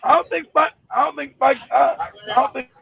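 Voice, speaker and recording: High, gravelly man telling stories. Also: fairly quick, lo-fi sound, very noisy. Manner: profane, animated storyteller. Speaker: show host. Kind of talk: casual chat on a call.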